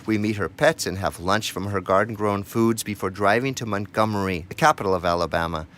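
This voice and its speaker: A man's voice: on the low side, fairly quick, a bit bright. A man working as a radio documentary narrator and interviewer. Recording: clear vehicle and ambient noise.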